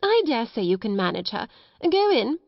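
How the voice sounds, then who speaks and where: A woman voicing a severe, irritable schoolmistress in a storybook, sharp and stately in manner. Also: fairly high pitch, very animated, moderate pace, vocal fry, dry recording, highs cut off, full character voice. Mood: curt, forced approval.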